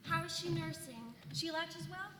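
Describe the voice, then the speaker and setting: A woman's voice: very high voice, a little slow. A woman acting a chatty, fed-up colonial young mother in a staged play reading, gossipy and sarcastic in modern casual speech. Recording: echoey hall, faint noise.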